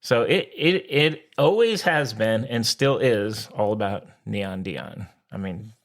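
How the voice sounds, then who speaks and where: A man's voice: on the low side, lively intonation, slow pace, vocal fry. An American man co-hosting a sports podcast, chatting casually in a blunt, informal way with mildly crude slang.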